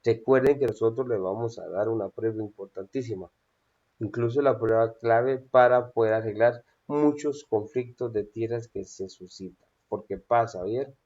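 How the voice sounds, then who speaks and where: A man's voice: medium pitch, moderate pace, a little mellow, highs cut off. A man teaching a class on public speaking, hesitating often and checking in with his students. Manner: hesitant with frequent "eh" fillers and checking "¿sí?". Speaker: instructor.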